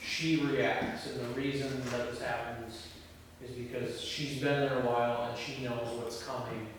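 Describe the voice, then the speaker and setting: A man's voice: mid-range voice, level tone, a little slow. A man in a casual group discussion of a script at a table read. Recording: echoey room, distant mic, background noise.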